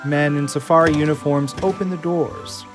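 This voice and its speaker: A man's voice: on the high side, steady tone, moderate pace. Male narrator over music. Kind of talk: scripted narration of stage directions. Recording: music under the voice.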